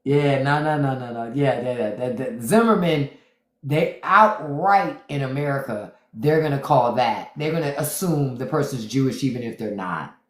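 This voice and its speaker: A woman's voice: very deep voice, moderate pace, reverberant. A woman hosting a casual livestream chat, emphatic, repeating her points and reading viewer comments aloud. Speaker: podcast host. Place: home room on a livestream.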